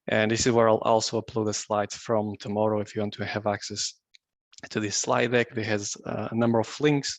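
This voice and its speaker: A man from Portugal, his first language Portuguese, presents to an online meetup in a friendly, conversational manner. His voice sits fairly low and sounds dry and close, picked up through a video-call mic. He restarts a phrase now and then as he goes. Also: no echo.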